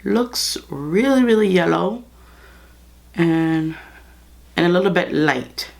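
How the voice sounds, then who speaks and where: A woman's voice: on the low side, expressive, takes it slowly, creaky. A young woman with a congested, under-the-weather voice, chatting to her viewers while reviewing and applying makeup at home. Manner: chatty, talks straight to viewers. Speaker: beauty vlogger. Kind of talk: casual makeup review and demo. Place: bedroom studio with clothing rack.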